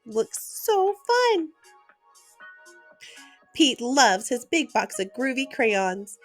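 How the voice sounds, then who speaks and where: A gentle woman who reads a children's bedtime story slowly and tenderly. Her voice is high and very lively, rising and falling as she talks to little ones, with soft music playing underneath. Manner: gentle, warm and expressive, like reading to small children. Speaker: host reading children's books. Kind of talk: bedtime read-aloud storytelling.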